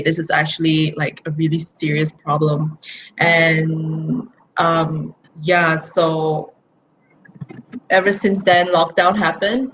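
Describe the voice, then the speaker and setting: Relaxed young woman, lower voice. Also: a little hiss or hum, rough recording. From Malaysia. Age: young adult. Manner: relaxed, many likes and fillers. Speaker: university student. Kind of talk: casual interview answers.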